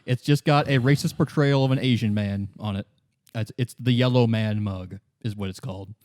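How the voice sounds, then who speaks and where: A man acting as game master, narrating and explaining a story casually in halting, improvised phrases. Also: mid-range voice, a little mellow, dry recording, clean studio sound. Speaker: game master, podcast co-host.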